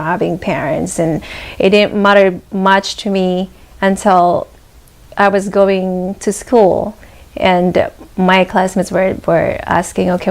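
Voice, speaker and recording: A woman, recounting her childhood as an interview guest, hesitant with many pauses and restarts. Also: mid-range voice, vocal fry, a little mellow. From Philippines. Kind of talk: conversational interview storytelling.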